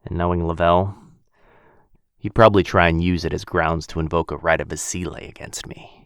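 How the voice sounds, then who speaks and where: An actor playing a protective, brooding vampire lord in an intimate ASMR roleplay, speaking in a hushed, measured, halting way close to the mic. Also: male voice, steady tone, no echo. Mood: bitter, worried. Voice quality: low, gravelly. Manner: hushed, measured, halting pauses, often dropping to breathy murmur. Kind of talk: boyfriend ASMR fantasy roleplay, intimate one-sided dialogue. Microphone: close microphone, dry.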